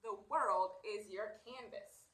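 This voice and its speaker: A woman voicing a friendly, enthusiastic male mural artist in a storybook, encouraging and animated. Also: medium pitch, slow, echoey room. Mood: warm, proud. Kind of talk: storybook read-aloud character voice.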